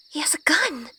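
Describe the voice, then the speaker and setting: Innocent young woman, voiced by an actress, highish voice, earnest, timid, polite, audio drama heroine. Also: bright, forceful tone, a little hiss or hum, heavily acted. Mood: frightened. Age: young adult. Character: innocent, anxious good girl newly among witches. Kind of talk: audio drama acting with first-person narration.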